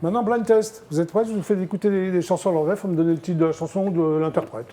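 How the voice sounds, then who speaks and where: A man hosting a TV game show, chatty and teasing, quizzing his guests with quick prompts. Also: high voice, fairly even intonation, dark tone, slight background noise. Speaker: TV show host.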